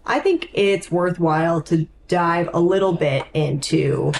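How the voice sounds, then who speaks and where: A woman's voice: lowish voice, a little slow, creaky, darkish tone, a little hiss or hum. A woman co-hosting a podcast, talking informally about books with plenty of "like" and "um". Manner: informal, peppered with "like" and "um". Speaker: podcast co-host. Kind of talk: casual chat about books.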